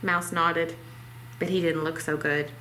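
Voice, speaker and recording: A woman's voice: deep voice, flat delivery, unhurried, pushed and bright, a little hiss or hum, rough recording. A woman reading a children's story aloud expressively, as a library storytime reader.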